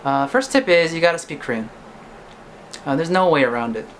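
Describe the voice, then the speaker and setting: A man's voice: a little high, animated, brisk, a bit bright, a little hiss or hum. A young man, a singer-songwriter whose first language is English, talking casually to camera and ending his points with "right?". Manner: chatty, ends points with "right?".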